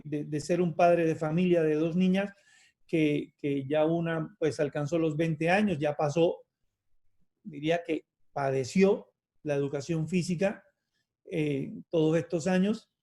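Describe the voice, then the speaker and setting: Guy in his 50s lecturing, kinda higher voice but mellow, lots of ums and restarts. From Cuba. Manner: hesitant, many fillers and restarts; measured and reflective. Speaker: university lecturer in physical education. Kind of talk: academic conference talk.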